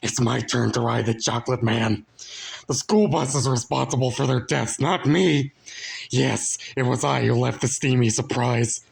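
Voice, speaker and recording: A man's voice: mid-range voice, fairly even intonation, normal speed, vocal fry, low-quality recording. A man voicing a pathetic, fearful oddball who whimpers and pleads, in a storybook reading. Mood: sleepy whimpering.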